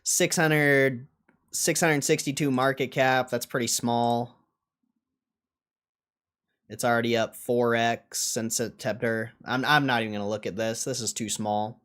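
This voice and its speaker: A man's voice: medium pitch, flat delivery, measured pace, a bit bright. A man hosting a livestream in a casual, blunt and dismissive way, swearing now and then as he answers chat. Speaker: livestream host.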